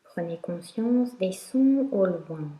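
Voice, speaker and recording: A woman teaching yoga nidra, guiding a meditation in a calm, instructional way with long pauses. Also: mid-range voice. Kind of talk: guided meditation narration. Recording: roomy echo.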